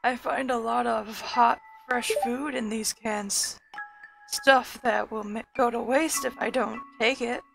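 A woman reading a timid, apologetic man's lines from a farming video game in a soft, gentle voice. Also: a little high, fairly even intonation, slow, creaky, low-quality recording. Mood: sheepish. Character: timid, apologetic man living outdoors. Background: game music.

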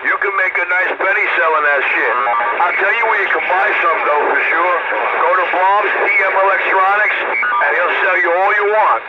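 A man's voice: sits high, normal speed. A man chatting casually in informal slang over a CB radio. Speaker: CB radio operator. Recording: very noisy, muffled CB radio.

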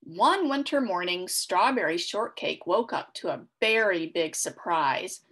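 Animated middle-aged woman reading to children. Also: lowish voice, measured pace, breathy edge. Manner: expressive, enunciated for young children. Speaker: read-aloud host for children. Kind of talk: children's storybook narration. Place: small furnished room at home, indoors.

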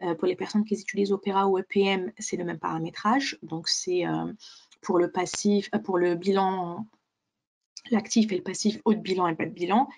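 Woman presenting a software demo, dry sound. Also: on the low side, fairly even intonation, normal speed, vocal fry, no echo. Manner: explanatory, step by step. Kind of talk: webinar software demonstration.

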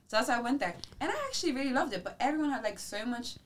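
A young woman from the Netherlands co-hosting a podcast, telling stories in casual chat with an animated, laughing manner. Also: a little high, very fast. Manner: animated storytelling with frequent laughs. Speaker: podcast co-host.

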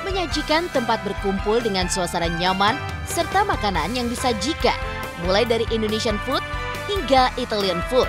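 A woman reading a scripted news voice-over in a lively, promotional way. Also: fairly high pitch. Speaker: news narrator. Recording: voice-over over loud music.

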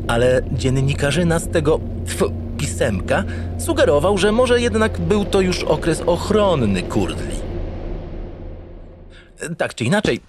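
A self-important, naive starship captain telling his tale in a comic sci-fi audio drama. His mid-pitched male voice leaps and dips wildly, full of grand, rambling asides. A faint noisy ambience sits behind him. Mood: scornful, gossipy, then proud, self-important. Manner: theatrical, digressive, grandiloquent. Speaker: lead actor in an audio drama. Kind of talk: comic first-person monologue in a sci-fi radio play.

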